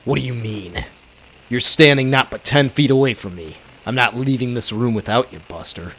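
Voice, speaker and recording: An actor playing a cool, tough vigilante hero with clipped delivery in an old-time radio crime drama. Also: male voice, medium pitch, normal speed, fairly bright tone, a little hiss or hum, natural voice, low-quality recording. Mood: defiant.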